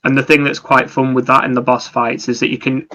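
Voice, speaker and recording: Male co-host for casual gaming chat. Bright tone, narrow pitch movement, explanatory. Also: level tone, a little fast. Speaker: podcast co-host. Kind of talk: casual video game discussion.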